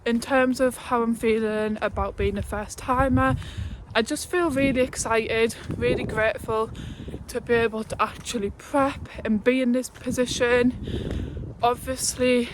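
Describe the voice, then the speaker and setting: Young woman, twenty, unhurried chatty vlog talk, slightly high voice, faint background noise. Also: fairly even intonation. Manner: chatty, with laughs and fillers. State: out of breath while walking uphill. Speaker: fitness vlogger. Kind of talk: casual vlog talk to camera. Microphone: phone held at arm's length.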